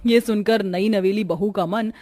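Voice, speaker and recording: A woman's voice: medium pitch, fairly even intonation, a little slow, warm tone, no room sound, faint music behind. A woman narrator reading a scripted cartoon story.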